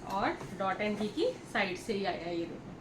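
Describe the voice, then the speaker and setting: A woman's voice: medium pitch, moderate pace. A woman telling a story to viewers in a casual, chatty vlog style, repeating herself and addressing them directly. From India. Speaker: vlogger. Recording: echoey room with clear background noise.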